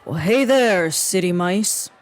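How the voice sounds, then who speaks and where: An actress playing a sarcastic, bossy, wisecracking secret agent in a comedic audio drama. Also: female voice, fairly low pitch, animated, slow. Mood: sardonic. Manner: wisecracking, sardonic. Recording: music behind the voice.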